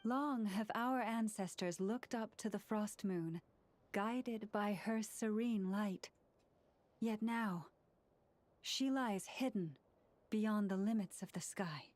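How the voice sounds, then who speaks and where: An actress voicing a calm, solemn priestess with antlers, speaking formally in game dialogue. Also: female voice, mid-range voice, slow, dry recording, lightly acted. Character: serene antlered female priestess, gentle and solemn. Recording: game audio with faint music behind.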